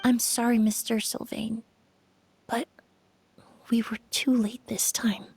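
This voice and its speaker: A woman voicing a calm, composed young female investigator in a video game, soft-spoken and measured. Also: a little high, husky, no echo, heavily acted.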